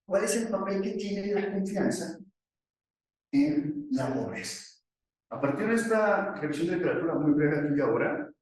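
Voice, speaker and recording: A man with a fairly high voice presents research at an academic conference. He explains step by step, often putting questions to the listeners and answering them. The room is very reverberant and the microphone sits away from him. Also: a little mellow. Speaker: academic economist presenting a paper. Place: echoey conference session room. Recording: very echoey room, distant microphone.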